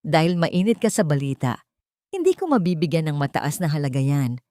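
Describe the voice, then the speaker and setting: A woman's voice: sits low, sing-song, a little fast, warm tone, no echo, clean studio sound. A woman voicing a mocking, suspicious male pawnshop owner in a drama story. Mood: sneering. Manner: sneering, suspicious.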